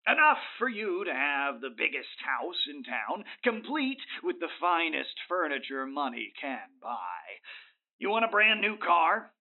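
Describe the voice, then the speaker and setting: Thin phone-like audio, male actor as oily older crime boss, widely swinging pitch, theatrical drawl, audio drama. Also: very animated. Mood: smooth, persuasive. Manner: theatrical, unctuous, drawn-out emphatic delivery. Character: scheming, smooth-talking older male tycoon and crime boss. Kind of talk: comedic audio drama acting.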